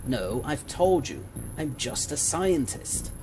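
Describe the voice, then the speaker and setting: A man's voice: mid-range voice, sing-song, slow, over music, poor audio. A man playing a haughty, impatient alien scientist in an audio drama, speaking in a precise, lecturing way. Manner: precise, lofty, indignant lecturing.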